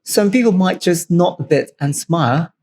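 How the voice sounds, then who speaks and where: A man's voice: sits high, lively intonation, moderate pace, a little mellow. A man from Thailand, a native Thai speaker co-hosting a podcast, explaining customs step by step in English with a Thai accent. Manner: plain, step-by-step explaining in second-language English. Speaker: podcast co-host. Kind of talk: explanatory cultural talk.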